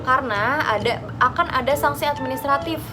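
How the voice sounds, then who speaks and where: Higher-voiced Indonesian woman explaining over music. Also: brisk. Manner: conversational, instructive, addressing listeners as friends. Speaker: host of an explainer vlog. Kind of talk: informal explanatory vlog addressed to viewers.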